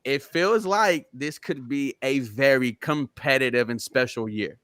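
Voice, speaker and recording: A man on a casual sports podcast, breaking down his team's roster with excited, rapid-fire analysis. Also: mid-range voice, dry recording, clean studio sound. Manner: excited, fast-flowing football analysis. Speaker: podcast guest.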